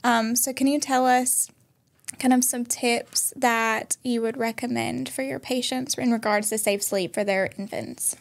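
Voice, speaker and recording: A young woman with a fairly high voice co-hosts a podcast. She introduces things and asks questions in a conversational interviewing style. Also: unhurried, creaky. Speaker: podcast co-host. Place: small indoor podcast studio. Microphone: boom-arm podcast microphone.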